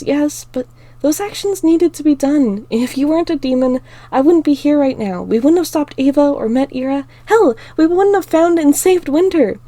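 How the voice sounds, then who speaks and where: Woman doing a bright, reassuring big-sister type. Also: high voice, dry recording, voice pushed up, close to an everyday voice. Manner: soft, reassuring. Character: cheerful, confident young woman comforting her sister.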